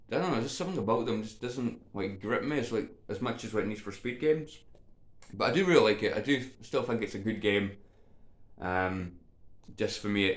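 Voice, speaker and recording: A man chatting casually to viewers as a video game vlogger, slightly congested with a cold, in an informal, hesitant, meandering way. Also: fairly low pitch. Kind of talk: casual rambling chat to viewers. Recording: echoey untreated room.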